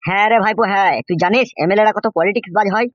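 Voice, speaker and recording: A man's voice: sits high, steady tone, very fast, dry recording, lightly acted. A man voicing a nosy, exasperated village uncle in a comic cartoon, impatient and questioning, with a rural West Bengal accent. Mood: goading. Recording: dull lo-fi sound.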